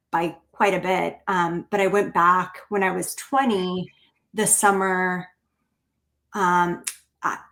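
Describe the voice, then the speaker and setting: Slow, creaky-voiced American woman. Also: lowish voice, bright, forceful tone. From Bulgaria, grew up in the United States. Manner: thoughtful, measured, searching carefully for words. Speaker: interview guest, writer and therapist. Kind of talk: reflective interview conversation.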